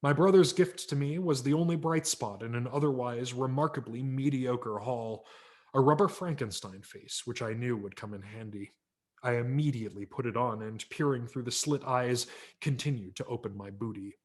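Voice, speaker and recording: A man's voice: medium pitch, normal speed. A male audiobook narrator with a mid-range voice reads a story aloud. His delivery is theatrical and wry, leaning hard on key words. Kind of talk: dramatic scripted story narration.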